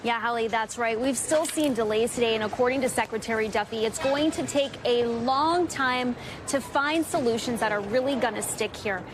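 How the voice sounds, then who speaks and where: A woman's voice: a little high, normal speed, noisy background. An American woman news reporter delivering a live report on location. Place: on location at an airport.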